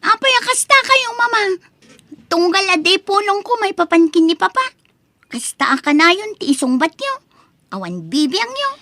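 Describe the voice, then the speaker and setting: Woman acting a very high-pitched, spoiled rich girl. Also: very animated, normal speed, bright, forceful tone, no echo, clean studio sound, heavily acted. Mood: hurt. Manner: whiny, scornful, demanding. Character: spoiled, haughty young rich daughter, a college student. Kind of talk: Ilocano audio drama acting.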